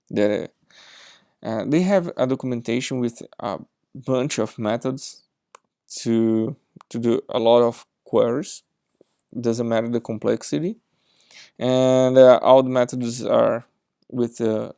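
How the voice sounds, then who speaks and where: A man in his thirties from Brazil with a Brazilian Portuguese accent, presenting a software demo in a halting way with many fillers and non-native grammar. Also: medium pitch, vocal fry, no echo, studio quality. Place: indoors at a desk with a laptop.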